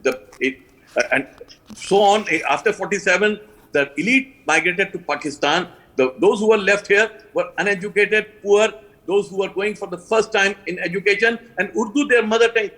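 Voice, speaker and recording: Bright, husky older Indian man. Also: high voice, moderate pace, low-quality recording. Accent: Indian English. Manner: earnest, emphatic, repeats key phrases. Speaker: panel guest, alliance co-founder. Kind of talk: live TV panel interview.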